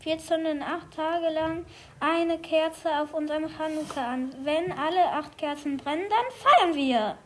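An eager young boy, acted by a woman in a children's audio play. The voice is extremely high, and the delivery is careful and explanatory, like reading out a script. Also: unhurried, fairly bright tone, a little hiss or hum.

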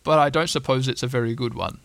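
A man's voice: mid-range voice, fairly even intonation, quick pace, creaky, no room sound, close to an everyday voice. A man voicing a simple, good-natured male toy bear in a storybook, earnest, naive and hesitant.

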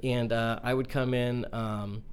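A man in his forties with a young-sounding voice, answering interview questions in a halting way with many fillers and restarts. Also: medium pitch, flat delivery, a little hiss or hum. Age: 40s. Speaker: mortgage company owner.

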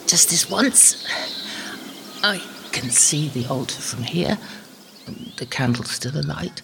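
An actress playing an old, devout, lonely but feisty medieval anchoress in an audio drama, creaky-voiced and muttering to herself. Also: female voice, sits low, exaggerated voice. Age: older. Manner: talking to herself, muttering and exclaiming.

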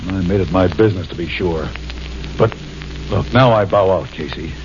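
A wary, blunt mob tipster, played by a man, his voice fairly low and his slang plain. The old radio transcription is muffled, with faint hiss. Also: normal speed, close to an everyday voice. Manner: low, blunt gangster talk. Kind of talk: 1940s radio drama acting.

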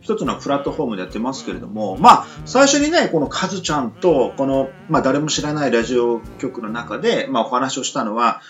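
A man hosting a casual radio chat in a teasing, animated way. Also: medium pitch, fast, few pauses. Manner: animated, teasing, frequent backchannels. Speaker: radio host. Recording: muffled lo-fi audio with music underneath.

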